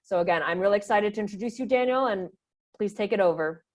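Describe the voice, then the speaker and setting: A woman's voice: mid-range voice, fast talker, lo-fi sound. A woman hosting an online event, introducing a guest speaker in a loose, upbeat way with some "um" fillers. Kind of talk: casual live introduction.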